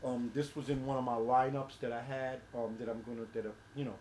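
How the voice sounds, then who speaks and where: A man in his forties co-hosts and talks hesitantly, filling pauses with ums while he checks his gear. His mid-pitched voice rings out in an echoey room, with faint hiss behind. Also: level tone, darkish tone. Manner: hesitant, many fillers while fiddling with equipment. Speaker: co-host. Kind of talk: casual setup chat.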